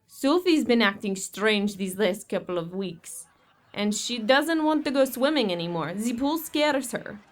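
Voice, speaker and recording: A woman plays a young girlfriend in an audio horror drama. Her voice is fairly high, and she speaks with anxious, earnest exasperation and some bitterness. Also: lively intonation, unhurried. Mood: worried. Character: worried, bitter younger girlfriend of widowed father.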